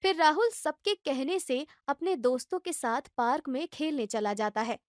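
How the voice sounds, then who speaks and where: A woman reading scripted storybook narration for a children's cartoon in an even storytelling way. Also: high voice, normal speed, dry recording, clean studio sound.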